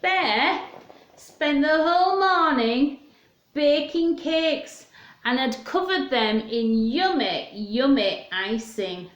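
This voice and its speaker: A woman hosting storytime for young children in a sing-song, questioning manner, chatting and reading aloud. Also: a little high. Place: indoors at home. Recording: echoey home room.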